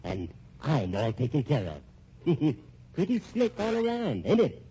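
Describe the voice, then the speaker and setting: A man's voice: mid-range voice, wildly swooping pitch, unhurried, mellow, breathy edge, exaggerated voice. A man playing a vengeful, gloating schemer in an old-time radio thriller, theatrical, menacing and taunting. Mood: smug, vindictive. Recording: dull, noisy old radio recording.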